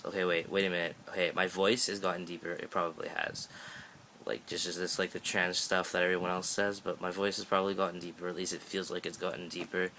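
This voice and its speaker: A sixteen-year-old Canadian guy rambles through a casual vlog update, joking and restarting his sentences. His voice sits low, with a bright, forceful edge. He's filming on a phone held at arm's length, with a faint hiss of background noise. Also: poor audio. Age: teens. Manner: rambling, chatty, jokey, many fillers and restarts. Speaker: vlogger.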